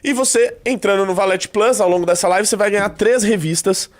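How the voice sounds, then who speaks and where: A man's voice: high voice, brisk. Stuffy-nosed Brazilian man hosting a livestream. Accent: Brazilian Portuguese. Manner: loose, joking, fillers like "tá?". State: stuffy nose. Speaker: livestream host. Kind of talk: casual live commentary.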